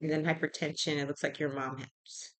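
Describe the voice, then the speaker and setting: A woman's voice: deep voice, little pitch movement, fairly quick, fairly bright tone, poor audio. A woman conducting a clinical intake interview as a health professional, conversationally checking and repeating answers. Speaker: health professional taking a patient history.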